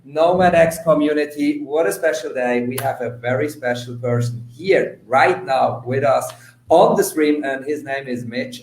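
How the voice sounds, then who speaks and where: Casting: male live-show host, mid-pitched, effusive and informal, repeats words for emphasis, roomy echoing sound. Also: a little mellow. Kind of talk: enthusiastic, informal live interview hosting.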